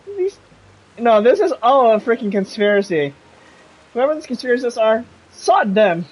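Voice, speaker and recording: A man's voice: extremely high pitch, sing-song, normal speed, a little mellow, poor audio. A man streaming a game, giving casual live commentary in muttered reactions.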